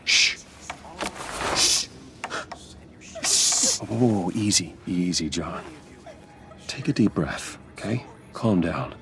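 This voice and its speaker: A male actor playing a composed, guarded wealthy man in a video game, measured and controlled. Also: deep voice, expressive, creaky, exaggerated voice. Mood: firm, calming.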